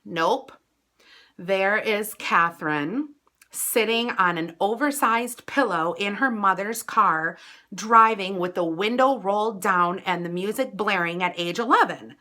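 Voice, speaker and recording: An American woman vlogger telling a personal story casually, in a chatty, animated way with dramatic emphasis. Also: mid-range voice, a little slow.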